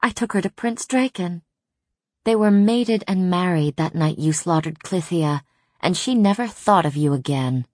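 A woman voicing a cold immortal princess in an audiobook, flat and defiant with some breathiness. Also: on the low side, measured pace, no echo, clean studio sound. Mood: breathy, defiant.

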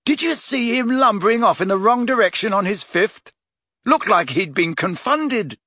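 A cocky teenage lad from a storybook, voiced by a grown man, excitable and very pleased with himself. His pitch is lifted and bounces around as he boasts, with a slightly rough edge. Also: unhurried, no room sound, clean studio sound, full character voice. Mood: boastful, happy. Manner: boastful, chatty, upbeat.

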